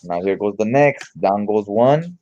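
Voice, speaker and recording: A man's voice: on the low side, expressive, measured pace, dark tone, lo-fi sound. A man giving casual read-along comic commentary in an informal, slangy, mildly profane way. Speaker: comic book reviewer.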